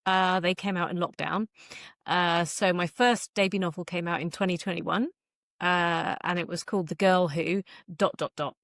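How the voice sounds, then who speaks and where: Low-pitched woman, chatting casually. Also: steady tone, normal speed, a bit bright, dry recording, clean studio sound. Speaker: author guest. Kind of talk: casual interview chat.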